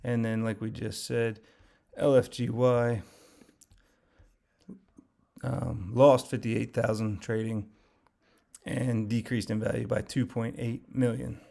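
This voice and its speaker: A man hosting a casual market recap, talking through trades in a rambling, conversational way with lots of fillers while his voice grows hoarse. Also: medium pitch, level tone, slow, pauses often, warm tone. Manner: conversational, rambling, many fillers and self-corrections. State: voice getting hoarse, losing his voice. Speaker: finance channel host.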